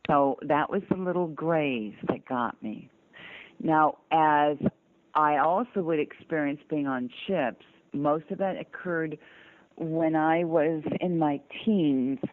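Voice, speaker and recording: An older woman from the southern United States telling personal stories earnestly and deliberately as an interview guest. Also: low-pitched, no room sound. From southern United States (Georgia, North Carolina). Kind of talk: personal storytelling in conversation. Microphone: telephone. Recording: muffled narrow phone-line sound.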